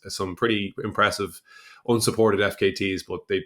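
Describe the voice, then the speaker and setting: Halting, lower-pitched Irish man. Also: fairly even intonation, a bit bright. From Ireland. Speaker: podcast host, interviewer. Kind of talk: casual interview chat.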